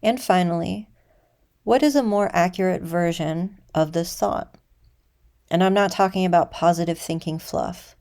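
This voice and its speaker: A woman teaching a guided meditation in a measured, deliberate way, leaving pauses between phrases. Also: lowish voice, darkish tone.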